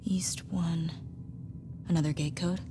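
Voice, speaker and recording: A woman's voice: lowish voice, fairly even intonation, slow, music in the background. A young American woman acting a wry survivor companion in a game cutscene, with a casual, conversational way of talking. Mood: uneasy. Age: young adult.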